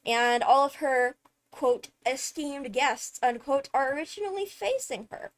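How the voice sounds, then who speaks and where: A woman giving casual, excited video commentary on a game trailer, chatty and thinking aloud with frequent interjections. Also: sits high, unhurried. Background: loud music from the video under commentary.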